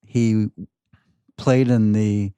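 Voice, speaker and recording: A man in his seventies, a podcast guest, talking sports casually and restarting his phrases in a halting way. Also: on the low side, level tone, warm tone, smooth, no room sound. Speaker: podcast guest, the host's father.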